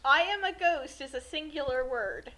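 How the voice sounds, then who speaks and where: A woman gives joking, casual commentary in an extremely high, bright voice. Her pitch swings in an animated way. The track has faint noise and clicks. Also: moderate pace.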